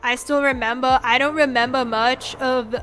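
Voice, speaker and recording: 20s streamer girl, high bright voice, rambly sweary casual chat, bit of music in the back. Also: steady tone, moderate pace. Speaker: streamer, VTuber host. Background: background music.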